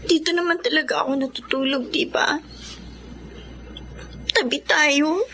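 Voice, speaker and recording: An actress with a Filipino accent playing an emotional, pleading, loyal younger sister in a radio drama. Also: female voice, high-pitched, fairly even intonation, measured pace, pushed and bright, a little hiss or hum, heavily acted. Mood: tender, reconciling. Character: Josie, hurt, loyal younger sister, university student.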